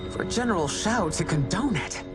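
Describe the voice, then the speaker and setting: An actress playing a proud, imperious empress in a video game cutscene, regal and commanding. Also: female voice, lowish voice, lively intonation, normal speed, over loud music, lightly acted. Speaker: ruling empress. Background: orchestral game score.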